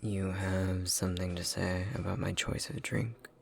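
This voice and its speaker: Man acting as haughty tsundere elf scholar, ASMR roleplay, low pitch, creaky edge, curt and exasperated. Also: flat delivery, a little slow. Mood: dry, grudgingly kind. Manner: curt, haughty, exasperated, often dropping into whispers. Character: prickly tsundere male elf academic, grudgingly caring rival.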